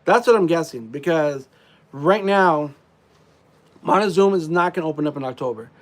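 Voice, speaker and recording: Man, somewhat high voice, congested, rambling casual stream chat, clears throat a lot. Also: expressive, normal speed. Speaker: live streamer.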